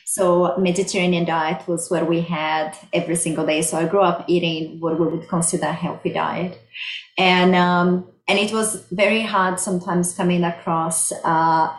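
A middle-aged woman from Brazil with a Brazilian accent, explaining her research plainly as an interview guest. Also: lowish voice. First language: Portuguese. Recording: roomy, echoey sound.

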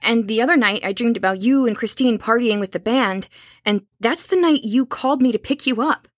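A woman acting an anxious, resolute young woman in an audio horror drama, speaking in a husky, earnest, urgent hush. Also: on the high side, brisk, dry recording, studio quality, lightly acted. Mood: haunted.

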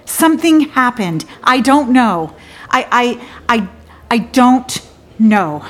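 An actress playing a disillusioned woman lawyer in a theatrical monologue, confiding in a halting, wry and bitter way with abrupt swings. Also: a little high, very animated, heavily acted. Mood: disoriented, indignant.

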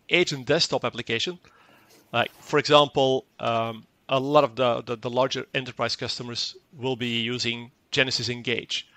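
A man's voice: medium pitch, bright, forceful tone, dry recording. A man whose first language is Dutch, explaining a product in an interview and often repeating words as he goes. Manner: fluent but repeats words and restarts, acts out short quoted exchanges. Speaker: tech company guest.

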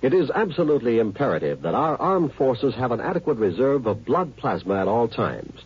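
A man delivers an earnest, formal announcement in 1950s radio style. His voice is very rough-edged and moves widely in pitch. The vintage recording sounds muffled. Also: big pitch swings, a little fast. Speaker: public service announcer.

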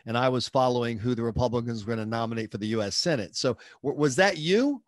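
A man's voice: mid-range voice, lively intonation, dry recording. Podcast host, a man from the American South, chatty and joking, recorded with a dry, close sound. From southern United States. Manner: chatty, joking, quick asides. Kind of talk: conversational interview.

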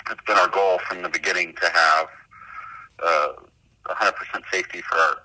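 A man's voice: low-pitched, steady tone, moderate pace, sharp tone, husky, dry recording, rough recording. A man speaking off the cuff as a store owner being interviewed. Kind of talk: spontaneous interview answer.

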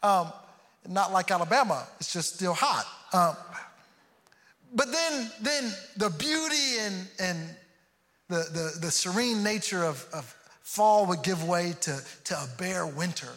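A man's voice: high voice, animated, unhurried, fairly bright tone. A man from Houston preaching a sermon, earnest and prone to repeating words as he starts a thought. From Houston, Texas. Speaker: pastor. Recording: long hall reverb.

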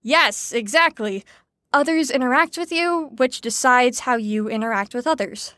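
Wry, higher-voiced female lecturer. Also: moderate pace, fairly bright tone, no room sound, studio quality. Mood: wry, impatient. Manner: didactic, wry, articulate. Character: brisk, sarcastic female teacher explaining psychology theories. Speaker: lecturer leading a group lesson. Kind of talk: audio drama acting, lecturing.

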